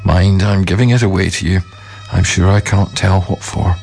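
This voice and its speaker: A man's voice: sits low, steady tone, normal speed, vocal fry, a little mellow, faint music behind. A man voicing a pompous, fussy holy man in a storybook, with an old-fashioned, blustering manner. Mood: bargaining. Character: pompous old male priest, fussy, easily frightened.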